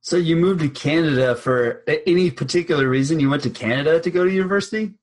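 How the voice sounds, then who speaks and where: A man's voice: on the high side, quick pace, dry recording. A young man hosting a podcast, talking enthusiastically and casually, often saying "like" and repeating himself. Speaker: podcast host.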